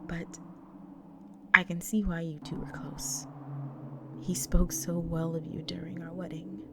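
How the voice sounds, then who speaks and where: A woman playing a gentle, protective vampire wife in an intimate audio roleplay, speaking tenderly in a breathy, near-whispered voice. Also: on the low side, steady tone. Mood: tender, sorrowful. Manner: tender, slow, soothing. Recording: noisy track with loud ambient sound.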